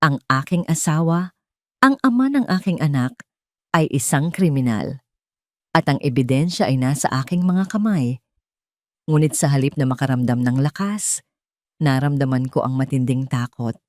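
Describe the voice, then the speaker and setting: A woman with a Filipino accent narrating a dramatic first-person story in an emotive, deliberate way. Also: extremely low pitch, expressive, a little mellow, no echo, studio quality.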